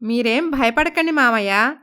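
A woman's voice: on the high side, a little slow, sharp tone, clear, resonant tone. A woman voicing a polite, coaxing young daughter-in-law with a scheming streak in a cartoon moral story. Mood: scheming, reassuring. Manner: respectful, coaxing. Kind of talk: Telugu animated moral story voice acting.

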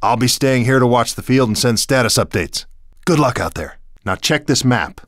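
An actor playing an earnest, easily exasperated resistance fighter in a video game, explaining things in a brisk, folksy way. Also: male voice, mid-range voice, lively intonation, fairly bright tone, no echo, clean studio sound, close to an everyday voice. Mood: helpful, businesslike.

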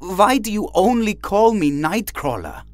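An actor playing a hurt young mutant son in an audio drama, intense and questioning. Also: male voice, high-pitched, big pitch swings, a little slow, dry recording. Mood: probing. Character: hurt young male mutant confronting his mother. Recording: music under dry dialogue.

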